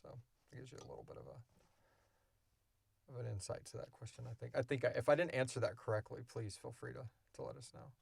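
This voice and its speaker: A man's voice: mid-range voice, fairly quick, gravelly, breathy edge, no echo, clean studio sound. A man co-hosting a show, chatting off the cuff in an informal, rambling way as he explains things. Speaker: podcast co-creator and co-host. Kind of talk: casual unscripted Q&A chat.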